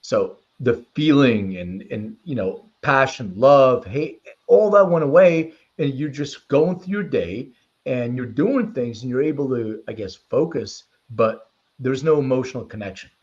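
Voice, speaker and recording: A man's voice: medium pitch, lively intonation, unhurried, a little mellow. Man with dull, lo-fi sound, explaining informally. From born in Lithuania, raised in Philadelphia from age six. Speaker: interview guest, precision medicine company leader. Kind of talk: conversational interview answers and personal storytelling.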